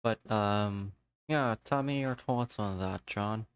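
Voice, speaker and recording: A man's voice: fairly low pitch, slow pace, clear, resonant tone, dry recording. A man streaming a game live, chatting casually with viewers and talking to himself as he plays. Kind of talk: casual live-stream chat while gaming.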